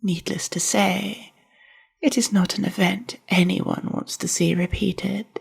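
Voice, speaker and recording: A woman voicing a reserved, bookish young drider librarian in a soft-spoken ASMR roleplay, with formal, measured phrasing. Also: mid-range voice, fairly even intonation, a little hiss or hum. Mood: calm, explanatory. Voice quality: soft, breathy, husky with heavy creak. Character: reserved, bookish young female drider librarian, half-spider university student.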